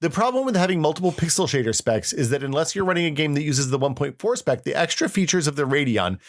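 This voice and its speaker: A smug young tech reviewer, read out by a man, in a raised, chipper pitch. He lectures with self-important certainty, as in a dramatic reading of an old online post. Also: creaky.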